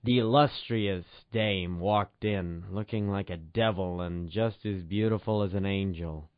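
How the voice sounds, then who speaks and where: A man voicing a brooding hardboiled noir private-eye narrator with a slow, deliberate, dramatic delivery. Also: low-pitched, no room sound, pitched below the performer's own voice. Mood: brooding, ominous. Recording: dull lo-fi radio sound.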